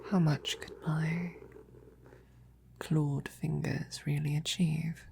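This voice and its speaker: Woman doing a flirty devoted lady knight for asmr roleplay, low breathy husky voice, kinda creaky, courtly wording. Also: level tone, darkish tone, a little hiss or hum, full character voice. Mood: teasing, flirtatious. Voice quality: breathy, husky, often whispering. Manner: slow, flirtatious, teasing, courtly phrasing. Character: devoted, teasing female knight courting a dragon princess. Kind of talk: intimate roleplay audio, ASMR.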